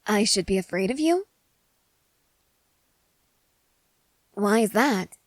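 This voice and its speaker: An eager scientist soldier played by a woman in an audio roleplay, talking straight to the listener. She is excitable and earnest, leaning on key words and halting between thoughts. The voice sits at a middle pitch in a close, dry recording. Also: dry recording, close to an everyday voice. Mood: puzzled. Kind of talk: ASMR character roleplay addressed to the listener.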